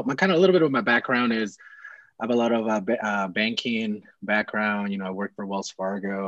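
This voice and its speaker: Low-voiced young man on a dull call. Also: no echo. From Peru. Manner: loose, rambling storytelling with many fillers and restarts. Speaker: real estate investor guest. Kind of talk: casual video call chat. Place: small home room indoors. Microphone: video call with earbuds. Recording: dull, dry video call audio.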